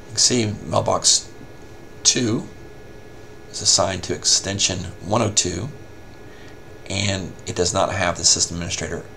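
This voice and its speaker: A man giving a casual, step-by-step software tutorial as a help desk instructor. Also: low voice, gravelly, slight background noise. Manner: informal step-by-step explaining.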